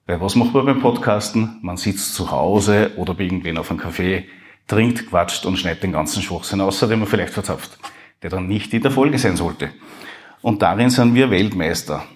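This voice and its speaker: Nervous low-voiced male podcast host. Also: moderate pace. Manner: nervous, rambling, self-deprecating. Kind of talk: live podcast introduction. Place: university hall before a live audience.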